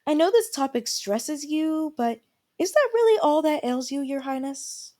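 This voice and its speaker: A woman playing a calm, supportive royal attendant in a fantasy audio roleplay, giving gentle and earnest formal counsel. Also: sits high, a little slow. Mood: concerned, encouraging.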